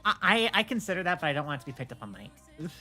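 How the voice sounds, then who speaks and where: A woman's voice: low-pitched, sing-song, very fast, pushed and bright, faint music behind. A woman chatting casually on a livestream, often stammering and repeating words as she explains things. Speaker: streamer and game server admin.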